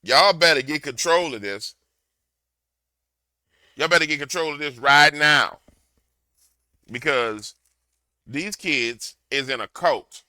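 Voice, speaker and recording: Guy with a big booming voice ranting loud into his mic, super bright and punchy, dry sound. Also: expressive, slow, pauses often, no room sound. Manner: loud, slangy, repetitive, heated. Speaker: commentator reacting to a clip. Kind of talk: ranting reaction commentary. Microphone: desk microphone.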